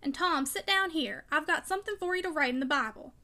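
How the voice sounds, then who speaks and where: A woman's voice: sits high, a little fast, pushed and bright. A woman voicing a fierce, bitter, broken old father with peremptory rustic speech in a storybook reading. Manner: peremptory, emphatic, dialect speech. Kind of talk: audiobook character dialogue.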